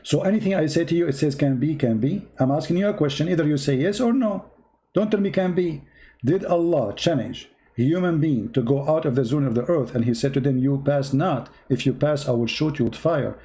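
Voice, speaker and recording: A man's voice: fairly high pitch, fairly quick, dark tone. A man whose first language is Arabic hosts a heated debate, mocking and pressing a caller with repeated questions. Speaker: debate show host. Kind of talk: heated live debate call.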